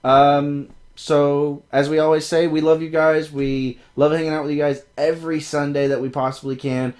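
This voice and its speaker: A man's voice: a little high, level tone, normal speed. Sarcastic, teasing podcast co-host man. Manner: sarcastic, teasing, bursts into loud outbursts. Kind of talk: casual chat.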